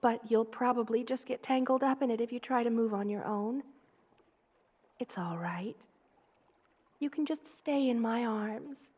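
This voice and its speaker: Woman doing a sweet motherly spider queen for asmr roleplay, high voice, really slow, tender and coaxing. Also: darkish tone, slightly rough. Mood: soothing, tender. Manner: soothing, tender, coaxing, with slow careful delivery. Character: gentle motherly spider queen, doting and protective monster woman. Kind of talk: intimate ASMR roleplay addressed to the listener.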